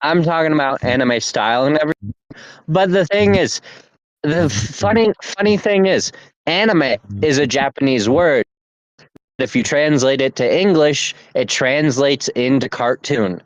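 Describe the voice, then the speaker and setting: A man's voice: on the high side, animated, unhurried, dry recording, poor audio. A man hosting a casual podcast, rambling and repeating himself with lots of fillers. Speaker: podcast host.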